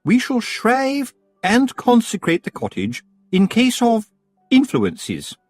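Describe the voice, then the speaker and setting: Man doing a prim witch lady, high and sugary, dry close sound; sweet-talking, overly polite. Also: huge pitch swings, takes it slowly, no room sound, soft background music, exaggerated voice. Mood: honeyed. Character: prim, sugary middle-aged witch, woman.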